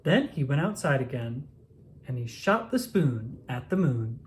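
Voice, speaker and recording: A storyteller reading a children's picture book aloud with expressive narration. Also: medium pitch, unhurried, a little hiss or hum.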